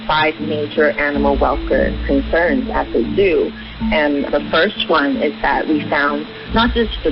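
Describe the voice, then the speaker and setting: High-pitched man on muffled shortwave radio. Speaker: animal welfare advocate being interviewed. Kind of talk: explanatory radio interview. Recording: muffled narrowband shortwave radio, music behind. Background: music.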